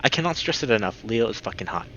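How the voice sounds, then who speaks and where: A man reading a visual novel aloud as a streamer, with expressive reactions. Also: on the low side, quick pace. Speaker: video game streamer. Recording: dull lo-fi mic with clear background noise.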